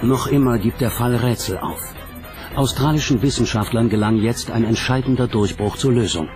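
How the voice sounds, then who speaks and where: A man reading scripted documentary narration in a measured, dramatic manner. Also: mid-range voice. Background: music.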